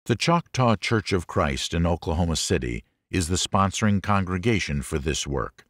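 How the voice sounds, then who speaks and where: A man reading a religious audiobook as a scripted narration, in a measured, clearly enunciated manner. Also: deep voice, expressive, a bit bright, no echo, studio quality.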